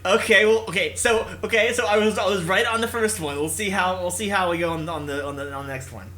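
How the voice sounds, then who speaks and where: A young-sounding gamer talking casually through a visual novel playthrough in a halting, self-interrupting way. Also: medium pitch, sharp tone. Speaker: gaming video creator. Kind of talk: casual let's-play, reading a visual novel aloud.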